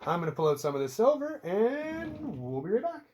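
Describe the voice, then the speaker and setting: A man from Canada talks to camera indoors at home, his voice stuffed up and congested from a cold. He rambles in a relaxed, chatty way, tossing in casual swears and jokes at his own expense. His voice sits a little higher than most men's. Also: a little fast, slight background noise. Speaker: outdoor hobby vlogger.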